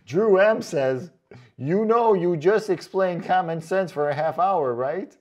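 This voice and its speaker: A man hosting a casual vlog, reading out comments in a chatty, joking way with sarcastic asides and drawn-out comic emphasis. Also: sits high. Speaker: online boot reviewer and video host.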